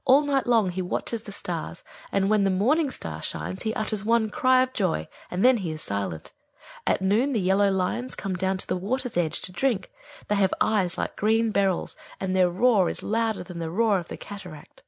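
A woman's voice: mid-range voice, moderate pace, creaky, dry recording, studio quality. A woman playing a small, good-hearted male swallow in a storybook, speaking earnestly and expressively. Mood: wistful, descriptive. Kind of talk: acted storybook dialogue.

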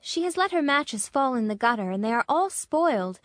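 A woman playing a noble, sorrowful statue prince in a storybook, speaking gently, pleadingly and in measured phrases. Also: high voice, very animated, no echo, studio quality. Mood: pleading, compassionate. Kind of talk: acted storybook dialogue.

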